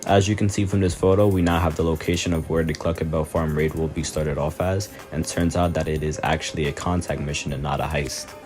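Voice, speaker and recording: A man's voice: rumbling low, level tone, fairly quick, few pauses, darkish tone. A man narrating a gaming video in an explanatory commentary style. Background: music.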